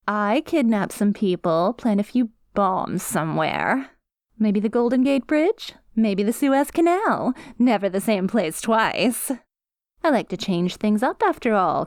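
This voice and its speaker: A woman's voice: mid-range voice, no echo, studio quality. A woman playing a needy, taunting female supervillain obsessed with a hero, in a theatrical, coy ASMR roleplay monologue. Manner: theatrical, coy, swooping between pouty and menacing.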